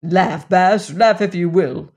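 A man voicing a fierce, hungry male dwarf who is being worshipped as a god, shouting in loud, blustering outbursts. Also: high-pitched, takes it slowly, lo-fi sound. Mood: indignant.

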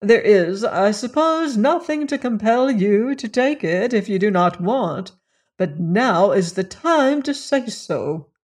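A woman voicing a condescending, severe elderly society lady in an audiobook story, speaking with deliberate disapproval. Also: mid-range voice, sing-song, a little mellow, full character voice. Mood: haughty.

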